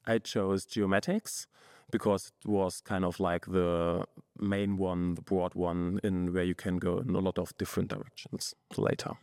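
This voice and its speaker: A young man, a master's student guest answering in a relaxed, hesitant way with many fillers. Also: low-pitched, a little slow, no echo, studio quality. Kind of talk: podcast interview answers.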